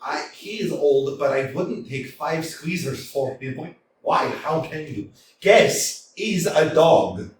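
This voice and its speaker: A man's voice: on the high side, lively intonation, takes it slowly, a little hiss or hum. A man voicing an anxious, quarrelsome young landowner who keeps complaining about his heart, in a staged play reading recorded in an echoey hall from a distance. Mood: indignant. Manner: fretful, complaining, flustered. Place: small theatre stage.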